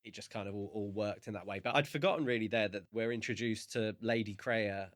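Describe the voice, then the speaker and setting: Male podcast co-host, fairly low pitch, measured, thoughtful book chat, recorded with no room sound. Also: dry recording, studio quality. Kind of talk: casual book discussion.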